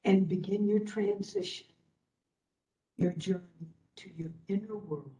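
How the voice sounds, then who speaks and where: A woman instructor leading a guided meditation in a soothing way, with long pauses between short phrases. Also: mid-range voice, fairly even intonation, dark tone. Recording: roomy echo, distant from the microphone.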